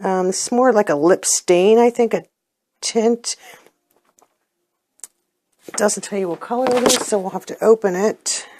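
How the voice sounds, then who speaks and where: A middle-aged woman with a hoarse voice from a cold, chatting casually as she reviews beauty products and often pauses with ums. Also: medium pitch, darkish tone, slight background noise. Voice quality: hoarse, clears her throat. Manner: chatty, plainspoken, hesitant with ums. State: getting over a cold, losing her voice. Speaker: unboxing vlogger.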